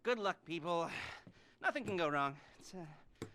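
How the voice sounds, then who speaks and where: A high, wildly swooping voice plays a neurotic middle-aged heist planner in a game cutscene. He stammers and rambles, tactless and unstable, at a slowish, halting pace. Clear background noise with vehicle ambience runs behind him. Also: animated, sharp tone. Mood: nervously briefing. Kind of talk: video game cutscene acting.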